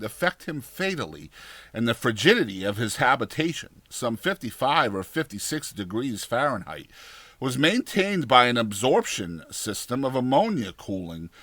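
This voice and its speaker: Guy reading an old horror story audiobook, mid pitch, very animated and theatrical, takes dramatic pauses. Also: no room sound. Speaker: audiobook narrator.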